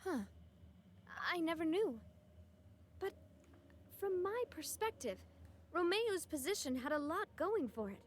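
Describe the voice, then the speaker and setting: A woman's voice: extremely high pitch, big pitch swings, measured pace, pauses often, a little hiss or hum, heavily acted. An actress playing a poised, outspoken young woman who leads an organization in a video game. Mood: questioning, firm. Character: poised young female boss, warm-hearted and outspoken.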